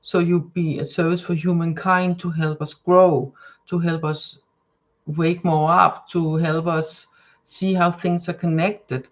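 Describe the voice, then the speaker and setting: Danish-accented woman, tarot reader, live-stream chat, halting and full of fillers, slightly dull lo-fi sound. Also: on the low side, flat delivery. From Denmark. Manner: rambling, hesitant, many fillers and restarts; soft spiritual guidance.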